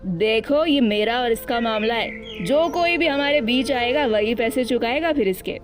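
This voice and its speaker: A woman's voice: a little high, lively intonation, measured pace. Woman as cartoon crow moneylender: arrogant male bully, threatening, demanding, music behind.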